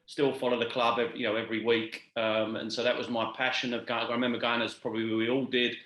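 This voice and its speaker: A man around fifty with a London English accent gives chatty, reminiscing answers as an interview guest. His voice is bright and forward at a medium pitch, with clear room echo around it. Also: level tone, fast talker. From east London, England. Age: late 40s to 50s. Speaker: interview guest, football club executive. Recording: echoey room sound.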